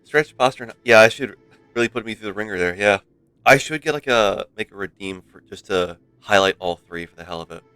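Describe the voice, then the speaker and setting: A game streamer, a man with a low but bright, forward voice. He rambles playfully in casual livestream chat, close and dry on the mic. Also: a little fast, clear, resonant tone, no room sound. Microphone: close streaming microphone. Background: faint game music.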